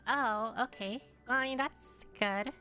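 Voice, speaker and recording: A woman with a high, very bright voice chats casually while streaming a game. She reacts aloud and exclaims freely. Game music sits faintly behind her. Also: a little slow. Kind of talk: casual chat and live reactions while gaming.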